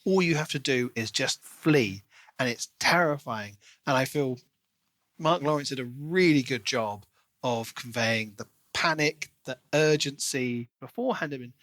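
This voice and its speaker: Guy on a book podcast, really into it, bright forceful voice, dry close sound. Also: medium pitch, unhurried, no room sound. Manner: enthusiastic, expressive, acts out quoted lines. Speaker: podcast co-host. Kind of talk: casual book discussion.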